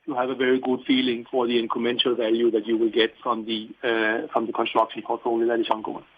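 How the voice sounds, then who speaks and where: A man answering questions as a company executive on an earnings call, halting and often repeating his words. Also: mid-range voice, completely flat delivery, slightly rough. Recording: tinny phone-like call line.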